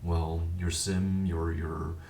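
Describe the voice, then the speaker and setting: Male interview host, deep and unhurried, halting casual delivery, off-mic with some noise. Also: little pitch movement, darkish tone, recorded from a distance. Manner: halting, repeats words as he searches. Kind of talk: casual, loose interview chat.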